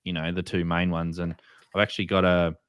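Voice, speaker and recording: A man's voice: deep voice, level tone, brisk, clear, resonant tone, no room sound, studio quality. A man with an Australian or New Zealand accent, chatting informally as a show host while explaining products to beginners. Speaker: co-host of a radio hobby show. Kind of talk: casual product walkthrough for beginners.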